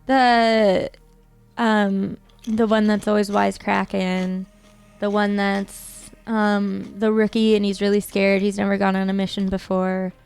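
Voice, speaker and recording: A woman's voice: mid-range voice, little pitch movement, a little slow, gravelly, a little mellow, clear, resonant tone, faint music behind. A woman player chatting and joking casually during a tabletop game, giggly and playful.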